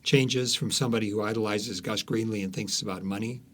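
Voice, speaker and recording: A man's voice: fairly low pitch, steady tone, a little fast, slight background noise. A man speaking as an author being interviewed, telling stories conversationally with frequent false starts and repeated words.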